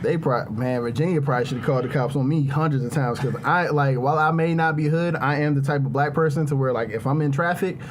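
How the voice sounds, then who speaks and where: A man with a light voice and little bass, telling wry anecdotes as host of a casual podcast. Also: fast talker, few pauses.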